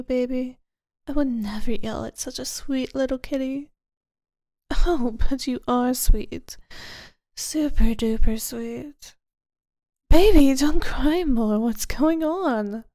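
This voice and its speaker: Gentle woman for a comfort roleplay: high, mellow voice, close and dry, soothing and sometimes whispered. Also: no echo. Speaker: audio roleplay performer.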